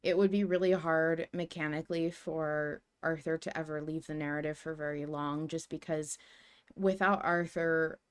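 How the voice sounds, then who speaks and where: Woman with a low voice cohosting a fan podcast, thoughtful, kinda tentative, working it out as she talks. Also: fairly even intonation, moderate pace, smooth. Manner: thoughtful, tentative, thinking aloud. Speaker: podcast co-host. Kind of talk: casual fan discussion.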